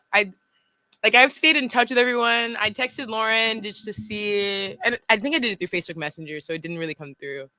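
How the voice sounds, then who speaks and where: A woman's voice: mid-range voice, bright, forceful tone, clear, resonant tone, no echo. A young woman in her twenties, a guest in a radio studio, chatting and giggling often. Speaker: music journalist guest. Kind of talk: casual radio interview.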